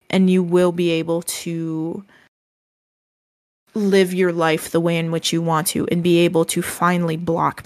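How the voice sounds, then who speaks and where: A woman co-hosting a casual podcast, speaking gently and haltingly with many ums and restarts, her voice described as soothing. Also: lowish voice, dry recording, studio quality. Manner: gentle, reassuring advice. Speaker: podcast co-host.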